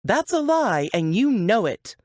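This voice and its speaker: A woman's voice: medium pitch, sing-song, measured pace, no room sound, studio quality, voice pushed up. A woman voicing a small, fierce, defensive young woman in an audiobook, tense and clipped, holding back anger. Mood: angry, defensive. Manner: tense, clipped, through gritted teeth. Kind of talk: audiobook character acting.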